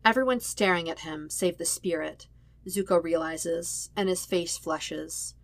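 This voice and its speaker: A woman's voice: fairly low pitch, unhurried, dry recording. A woman reading a story aloud as scripted narration. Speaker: podfic reader of fan fiction.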